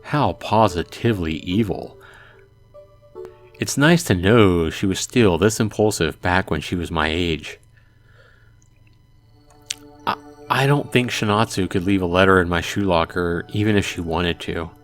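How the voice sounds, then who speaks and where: A man's voice: sits low, a little slow, pauses often. A man reading a visual novel aloud as a let's play host, narrating expressively in the first person. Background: music.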